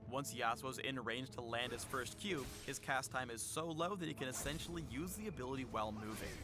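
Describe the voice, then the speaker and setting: A man narrates a video game guide with mid-range pitch. His delivery is measured, polished and clearly scripted, explaining things step by step. Music plays clearly behind him.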